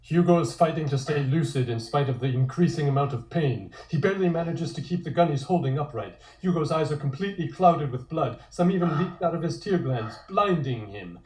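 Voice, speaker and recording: Guy reading out scene directions like a comic script, middle pitch, kinda echoey room. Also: lively intonation, a little fast, hardly any pauses, darkish tone. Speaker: narrator reading stage directions. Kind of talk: scripted comic-script narration at a table read. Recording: roomy, echoey sound.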